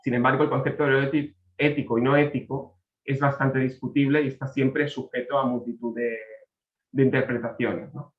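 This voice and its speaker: A man delivers a measured academic presentation over a video call, often checking in with a tag question. His voice sits in the middle range and carries a noticeable room echo. The audio is slightly dull. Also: mid-range voice, fairly even intonation. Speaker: conference presenter.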